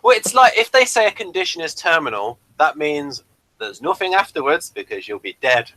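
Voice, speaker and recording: A man co-hosting a casual review chat, explaining his points in a halting way with repeats. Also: fairly high pitch, sing-song, sharp tone, no echo, poor audio. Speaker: podcast co-host reviewing a TV show.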